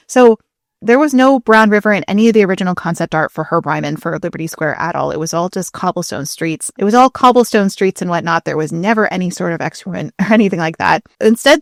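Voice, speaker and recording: A woman co-hosting a podcast, explaining things in a casual, chatty way with an animated, emphatic, informal manner. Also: mid-range voice, no room sound, studio quality. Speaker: podcast co-host.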